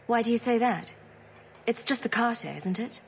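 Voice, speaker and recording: An actress playing a composed, resolute adventurer heroine in a video game, measured and determined. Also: female voice, fairly high pitch, a little hiss or hum, lightly acted. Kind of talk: dramatic video game acting.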